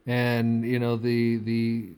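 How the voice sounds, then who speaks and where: Slow, dark-voiced man musing spiritually. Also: medium pitch, monotone. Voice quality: frequent throat clearing. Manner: halting, deliberate phrasing with pauses and repetition. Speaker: spiritual speaker. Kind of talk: unscripted spiritual monologue.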